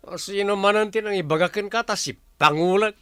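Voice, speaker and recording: Lazy quarrelsome husband, bright tense voice, dry radio drama recording. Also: male voice, high voice, a little fast, smooth, dry recording. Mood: grumbling. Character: lazy, belligerent husband, complaining and challenging. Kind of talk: Philippine radio drama acting.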